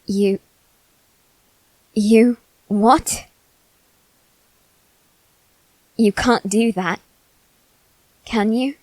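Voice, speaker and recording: A woman playing a proud, wounded aristocratic boy in an ASMR roleplay, speaking in a halting, theatrical way with formal phrasing. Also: fairly high pitch, steady tone, a little mellow, no echo, rough recording. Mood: hurt, disbelieving. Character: proud, wounded, self-loathing aristocratic boy facing his demon. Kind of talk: ASMR character roleplay, dramatic monologue.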